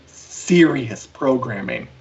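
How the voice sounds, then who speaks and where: A man's voice: medium pitch, expressive, takes it slowly, creaky, highs cut off. A man co-hosting an entertainment talk stream, chatting informally and discursively with lots of casual asides. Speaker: co-host of an entertainment news live show. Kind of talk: casual chat and opinion on entertainment. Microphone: online live-stream call.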